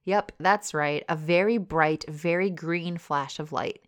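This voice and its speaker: Chatty Wisconsin woman, slightly low voice. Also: measured pace. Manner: chatty, reads listener stories aloud. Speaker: podcast co-host. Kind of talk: casual chat.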